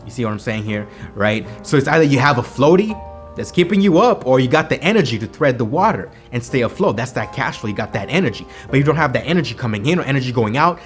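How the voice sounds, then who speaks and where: A man with a Kenyan accent, vlogging about business with energy, repeating himself and asking "right?" to his viewers. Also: medium pitch. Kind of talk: motivational business vlog talk. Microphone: camera mic on a home video set.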